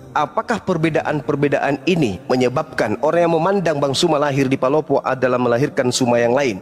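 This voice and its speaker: A man debating religion as a preacher, pressing with rhetorical questions and swinging from low murmurs to loud emphasis.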